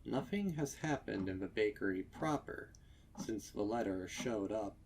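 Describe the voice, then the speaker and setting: A man reading a scripted horror story as narrator, with deliberate, dramatic delivery. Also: lowish voice, expressive, darkish tone, slight background noise. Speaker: narrator of fan fiction readings.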